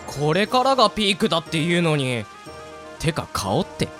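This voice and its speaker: A man's voice: on the high side, very animated, moderate pace, fairly bright tone, soft background music. A man playing a harried, grumbling young cake seller, the straight man of a radio drama. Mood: weary grumbling. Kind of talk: amateur Japanese radio drama acting.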